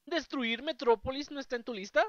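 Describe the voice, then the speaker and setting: A man's voice: very high-pitched, brisk. A man with a Latin American Spanish accent voicing a theatrical, smug, flippant clown villain for a video game fandub. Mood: mocking. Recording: dull, slightly noisy home recording.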